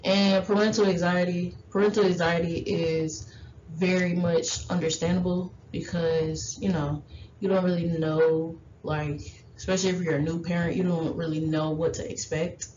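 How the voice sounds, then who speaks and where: A woman's voice: on the low side, flat delivery, normal speed, darkish tone. A woman whose first language is Haitian Creole, giving parenting advice in an informal presentation, rambling with lots of fillers and restarts. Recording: dull lo-fi recording with faint noise.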